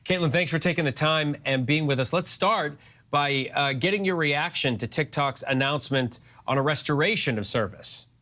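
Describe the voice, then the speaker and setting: A man anchoring a television news broadcast, reading and interviewing in a measured, formal way. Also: a little high, bright, forceful tone.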